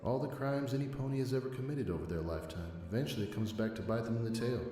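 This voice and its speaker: A man's voice: medium pitch, rapid-fire, mellow, close to an everyday voice. A man playing a concerned, reproachful male pony co-worker in an audio drama, folksy and earnest, in heavy echo. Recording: very echoey, faint music behind.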